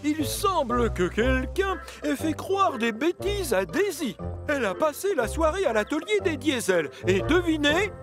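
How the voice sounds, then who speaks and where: A pompous railway boss in a French cartoon. He speaks with stern fatherly authority in an unusually high, highly animated voice, over loud music. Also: a little slow, full character voice. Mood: stern, disappointed. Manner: formal, authoritative.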